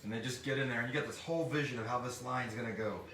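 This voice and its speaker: A man's voice: medium pitch, rapid-fire, a bit bright, distant mic. A man hosting a casual live question-and-answer chat in an informal, joking way. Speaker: podcast writer and director, panel host. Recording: echoey room, off-mic, noisy background.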